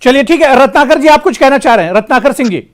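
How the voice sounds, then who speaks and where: A man with a very high, light voice moderates a televised debate. He keeps things moving with quick acknowledgments and pointed questions to his guests. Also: crowd noise. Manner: interjects with steady short acknowledgments. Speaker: debate show host.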